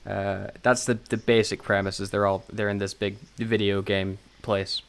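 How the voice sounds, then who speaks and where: A man's voice: on the low side, little pitch movement, normal speed, gravelly, clear, resonant tone. Rambling, sweary man chatting casually. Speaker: podcast co-host. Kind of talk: casual podcast chat.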